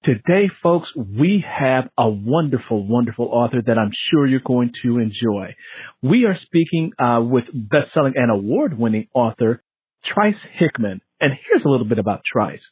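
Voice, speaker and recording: A man's voice: medium pitch, expressive, normal speed, slightly rough. A man hosting a book interview show, reading a scripted introduction and welcoming a guest. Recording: tinny phone-like line, roomy echo.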